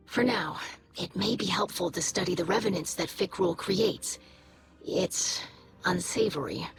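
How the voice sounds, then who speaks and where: A woman's voice: medium pitch, lightly acted. A woman voicing a young alien scholar and tonic maker in a video game, speaking carefully and formally with earnest concern. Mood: worried, sad. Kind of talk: video game character dialogue. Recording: game music behind the voice.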